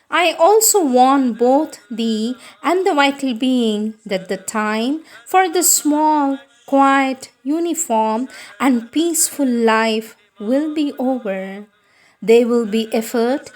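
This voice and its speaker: A woman reading spiritual writing aloud in a solemn, measured and formal manner. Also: on the high side, darkish tone. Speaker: reader of devotional writings.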